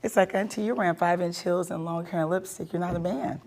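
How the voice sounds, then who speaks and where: Woman, forties, lowish voice, blunt, joking, candid chat. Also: fairly even intonation, fast talker, a little mellow. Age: 40s. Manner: blunt and joking, laughs often. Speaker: reality show cast member.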